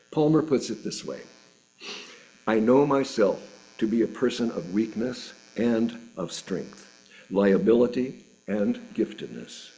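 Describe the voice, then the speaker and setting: A man reading a written sermon at a church service, in measured, deliberate phrases with pauses. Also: mid-range voice, warm tone, low-quality recording. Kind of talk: sermon read from a written script.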